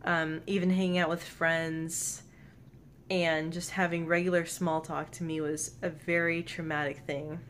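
A woman's voice: deep voice, level tone, measured pace, a little hiss or hum. A young woman vlogging to camera from her bedroom, speaking earnestly and openly with a stutter, repeating sounds and stalling on words. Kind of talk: personal vlog talk to camera. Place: bedroom, indoors. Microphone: camera close in front.

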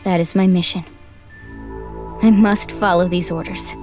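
A woman's voice: on the low side, fairly even intonation, measured pace, a little mellow, faint music behind, natural voice. A woman voicing a withdrawn, resigned young ninja girl in an anime game dub, speaking in clipped, guarded phrases. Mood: cold, resigned.

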